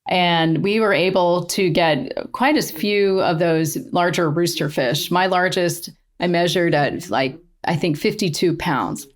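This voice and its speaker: A woman's voice: lowish voice, measured pace, hardly any pauses. A middle-aged woman, a podcast guest and angler, telling her stories with enthusiasm and frequent laughs. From born in Australia, raised in the eastern United States. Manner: enthusiastic storyteller, laughs often. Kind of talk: casual interview chat.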